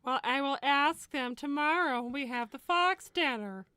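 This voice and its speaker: Woman plays cartoon fox mother: high voice, doting, naive, stubborn and chatty, recorded very dry. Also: normal speed, sharp tone, dry recording. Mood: indignant. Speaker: character on a live roleplay show. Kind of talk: improvised in-character livestream chat.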